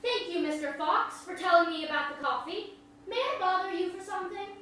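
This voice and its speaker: A woman's voice: very high-pitched, animated, brisk. A woman playing a friendly, polite country girl of about twelve in a staged play reading, with folksy, courteous speech. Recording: very echoey hall, distant mic, faint noise.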